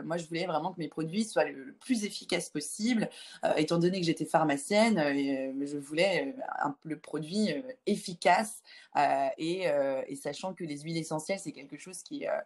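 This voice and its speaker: A woman, answering conversationally in an interview, often pausing on filler sounds as she goes. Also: sits low, a little mellow. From Lyon, France. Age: 20s. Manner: hesitant, halting delivery with frequent filled pauses. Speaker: interview guest, start-up founder and pharmacist.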